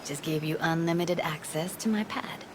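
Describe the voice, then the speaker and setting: Actress as tender guarded young woman, low pitch, hesitant slangy game dialogue, noisy ambience behind. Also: normal speed, close to an everyday voice. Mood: tender, wry. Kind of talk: naturalistic video game acting.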